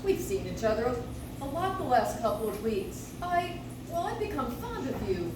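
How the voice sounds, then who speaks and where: A woman's voice: sits high, sing-song, moderate pace. A woman playing a practical, skeptical sweetheart in an old-time radio play, speaking with reproach and appeal. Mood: pleading, reproachful. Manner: reproachful, imploring. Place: live stage in a coffee house. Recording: very echoey, distant, noisy audience recording.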